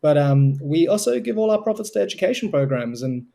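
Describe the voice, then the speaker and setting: A man's voice: on the high side, very animated, a little fast, vocal fry, mellow. A young Australian man, a guest in an interview, explaining his work earnestly and fluently. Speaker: guest, co-founder of a tech charity. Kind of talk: remote interview answers.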